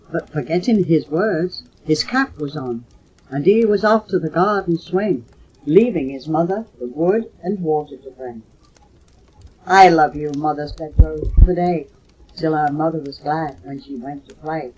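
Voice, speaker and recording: An older woman with a husky voice, reciting poetry slowly and expressively on a low-fidelity home cassette recording. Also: darkish tone, slight background noise, poor audio. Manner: slow, measured, dramatic storytelling delivery. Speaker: mother reading poems for her children.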